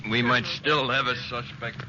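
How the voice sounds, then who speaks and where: An actor playing a gruff, authoritative, no-nonsense American police captain in a 1950s radio drama. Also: male voice, mid-range voice, little pitch movement, unhurried, natural voice. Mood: grim. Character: gruff, commanding male police captain giving orders. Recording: muffled, noisy old radio broadcast.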